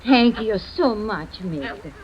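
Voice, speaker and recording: A woman's voice: mid-range voice, lively intonation, takes it slowly, slight background noise. An actress playing a grateful, fretful older woman customer in an old-time radio drama, with an effusive manner. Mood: grateful, worried. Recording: dull old radio recording, busy background.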